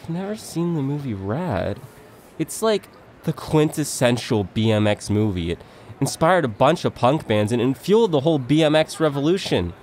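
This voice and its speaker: A man playing an excitable but laid-back slacker dude, an earnest movie buff rambling in slangy speech through a comic stage monologue. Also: medium pitch, slight background noise, lightly acted. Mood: enthusiastic. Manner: rambling slangy dude-speak with wondering pauses.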